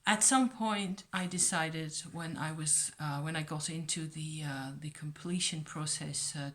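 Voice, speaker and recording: A woman's voice: low voice, fairly even intonation, a little slow, fairly bright tone. A woman whose first language is Dutch, chatting casually to her viewers in a rambling, reflective way, full of ums, uhs and restarts. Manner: rambling, hesitant, many fillers and restarts. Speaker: vlogger. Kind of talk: casual rambling chat to camera.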